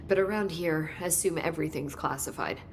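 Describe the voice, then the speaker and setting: An actress playing an analytical female researcher who explains findings in a measured way. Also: low-pitched, a little hiss or hum. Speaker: research scientist. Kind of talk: video game dialogue.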